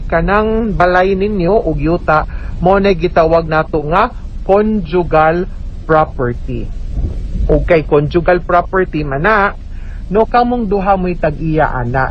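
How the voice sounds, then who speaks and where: A woman with a Cebuano Filipino accent giving spoken legal advice on the radio, explaining emphatically and checking with tag questions. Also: lowish voice. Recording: dull, noisy radio recording.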